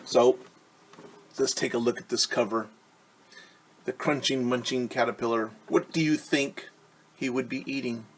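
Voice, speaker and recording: Male storytime teacher: unhurried, husky, questioning, explains simply for children. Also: mid-range voice, fairly even intonation.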